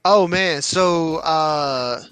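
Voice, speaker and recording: A man co-hosting a casual podcast, talking through his views in a measured way with frequent fillers. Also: a little high, fairly bright tone, smooth, no room sound, soft background music. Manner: measured, thinking aloud with many fillers. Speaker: podcast co-host.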